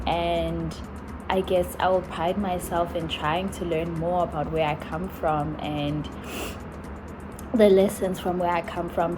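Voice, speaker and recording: A young woman from Africa, musing slowly in a casual travel vlog, full of sighs and likes. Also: lowish voice, poor audio. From South Africa. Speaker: vlogger. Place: in Italy while travelling.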